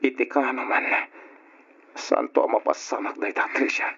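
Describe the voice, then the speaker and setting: Betrayed young man, husky, Ilocano accent, reproachful, drawn-out emotional radio drama delivery, dull lo-fi recording. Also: mid-range voice, very animated, fairly bright tone, full character voice. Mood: bitter, resigned. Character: anguished young man, betrayed by his childhood friend.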